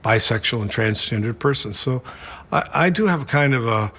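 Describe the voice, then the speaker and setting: A man with a husky voice speaks earnestly in a documentary interview. He repeats words as he speaks. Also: mid-range voice, animated, normal speed, pushed and bright. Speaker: church advocate.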